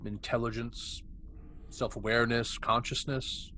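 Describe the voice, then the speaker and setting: A man's voice: medium pitch, level tone, a little slow, pushed and bright. A man reading the part of a stubborn, self-assured scientist giving curt testimony as a hostile witness in a table read. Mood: dismissive. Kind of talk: amateur script table read. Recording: clear background noise, room ambience.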